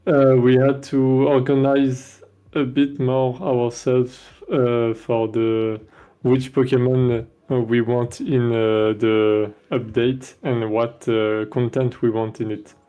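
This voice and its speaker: A man's voice: mid-range voice, little pitch movement, takes it slowly, mellow, clean tone, muffled recording. A man with a French accent, a game developer chatting casually on a stream, hesitating often with many fillers and restarts. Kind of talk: casual dev stream chat.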